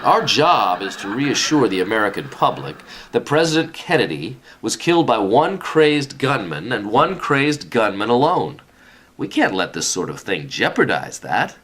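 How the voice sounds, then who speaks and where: Man playing pompous scheming boss, wildly swinging pitch. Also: big pitch swings, normal speed, a bit bright, slightly rough, heavily acted. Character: scheming, authoritative senior commission boss, a man. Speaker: actor in a radio play. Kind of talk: sitcom-style radio comedy acting.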